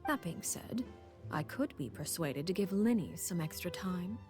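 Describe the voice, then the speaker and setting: Actress playing a calculating woman leader, lowish voice, measured and condescending, faint game music. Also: lively intonation, darkish tone, lightly acted. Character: cold, composed adult woman leader, calculating. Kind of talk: video game voice acting.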